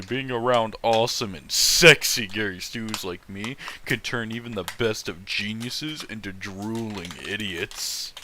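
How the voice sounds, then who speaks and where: A man's voice: lowish voice, bright, forceful tone, slight background noise. A man casually reading fan fiction aloud and mocking it with sarcastic, sweary, amused commentary.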